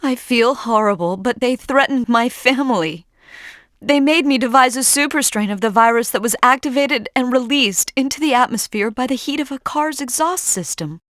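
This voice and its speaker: A woman's voice: a little high, normal speed, fairly bright tone, no room sound, clean studio sound, close to an everyday voice. A woman voicing an anxious, urgent ally in a spy video game. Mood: remorseful. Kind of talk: dramatic video game dialogue.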